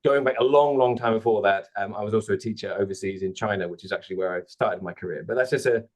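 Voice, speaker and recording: A man's voice: on the low side, fast. A man hosting an online panel as a recruiter, chatty with many fillers, on a video call. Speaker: host, recruiter.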